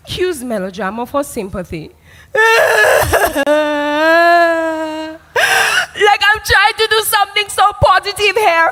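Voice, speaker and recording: A woman doing a shrill, melodramatic influencer. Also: sharp tone, heavily acted. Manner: melodramatic, fake-crying, shrieking laughs. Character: vain self-absorbed female social media influencer. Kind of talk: comedic livestream parody. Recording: long reverb.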